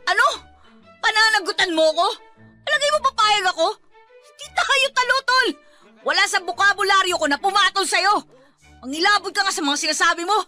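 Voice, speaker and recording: An actress playing a tough, proud young tomboy, one of the guys, in Filipino radio drama style. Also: female voice, extremely high pitch, expressive, a little fast, bright, forceful tone, soft background music, heavily acted. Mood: outraged.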